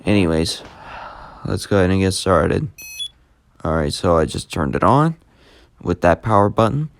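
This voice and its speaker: A man giving a casual, unscripted app tutorial, speaking plainly and haltingly as he hosts the demonstration. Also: deep voice, big pitch swings, creaky, slight background noise. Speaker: YouTube host demonstrating an app.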